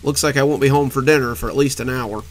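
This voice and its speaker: A man's voice: mid-range voice, fast talker, lightly acted. A man voicing an anxious young husband who stammers as he questions his wife on the phone. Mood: worried. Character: worried young husband stuck in traffic.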